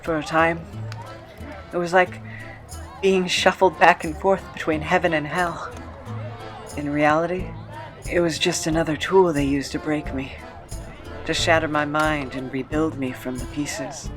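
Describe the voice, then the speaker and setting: An actor playing a weary, haunted former captive in an audio drama, recounting a cruel past in a halting, measured way. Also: mid-range voice, fairly even intonation, fairly bright tone, soft background music, some chatter behind, lightly acted. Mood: wistful, pained.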